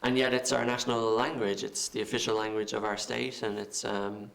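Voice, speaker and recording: An Irish film director answering interview questions, halting and repeating words as he thinks. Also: lowish voice, flat delivery, creaky, fairly bright tone. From Ireland.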